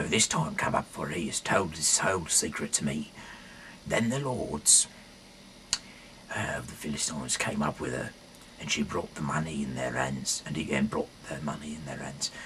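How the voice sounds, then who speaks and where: A man reading scripture aloud in a halting, rambling way, often correcting himself and dropping in casual asides. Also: extremely low pitch, pushed and bright, a little hiss or hum, rough recording. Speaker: amateur reader of a Bible audio series.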